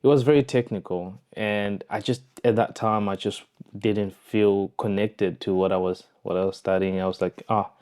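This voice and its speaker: Young man, Zimbabwean accent, low even pitch, smooth clear voice, casual vlog storytelling, reflective. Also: moderate pace. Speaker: vlogger, online entrepreneur. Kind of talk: casual personal storytelling to camera.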